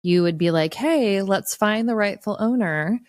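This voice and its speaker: A woman's voice: mid-range voice, unhurried, a little mellow, no echo, studio quality. A woman chatting casually as a podcast guest, speaking in a frank, opinionated way.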